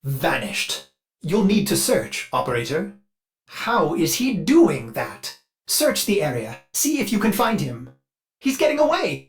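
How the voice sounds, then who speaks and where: A man's voice: high-pitched, full character voice. An actor playing a fussy, devoted male ship AI in a video game, eager and anxious, with a polite, theatrical manner. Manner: polite, theatrical, refers to self in third person. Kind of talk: video game companion dialogue.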